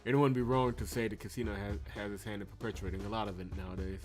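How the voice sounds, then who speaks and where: A man's voice: lowish voice, fairly even intonation, fast, natural voice. A man reading the lines of a curious, pragmatic young woman detective from a visual novel, with music behind. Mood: thoughtful.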